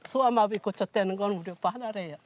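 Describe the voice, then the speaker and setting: A woman's voice: mid-range voice, fast, slight background noise. A woman in her sixties telling personal stories as an interview guest, with plain, homely, unpolished speech. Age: 60s. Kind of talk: personal storytelling in conversation. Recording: music behind the voice.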